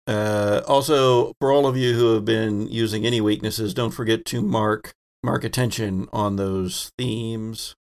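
A man acting as game master, narrating a tabletop role-playing scene in a halting, deliberate way. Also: lowish voice, steady tone.

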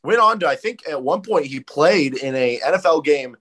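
A man's voice: a little high, lively intonation, moderate pace, fairly bright tone. A man co-hosting a sports podcast in casual chat, stuffed up and congested from a cold, with a dry, joking delivery. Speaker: podcast co-host.

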